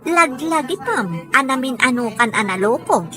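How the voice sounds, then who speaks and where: A woman's voice: high voice, expressive, normal speed, over music. A woman acting a scolding, skeptical friend in an audio drama. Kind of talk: acted dialogue in an audio drama.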